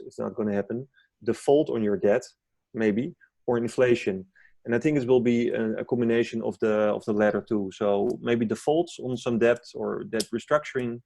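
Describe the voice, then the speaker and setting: A man's voice: on the low side, gravelly, a little mellow, no echo. A man from the Netherlands with a Dutch accent, speaking English as a second language as a guest analyst, explaining while searching for words. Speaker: gold market analyst guest. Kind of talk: interview conversation.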